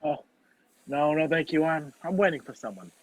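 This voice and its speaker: A man's voice: on the high side, animated, normal speed, breathy edge, no echo. A man acting a wrongly accused, impatient man on the run in a radio play over a video call. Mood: awkward, evasive. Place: video call, dry room. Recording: muffled video-call audio.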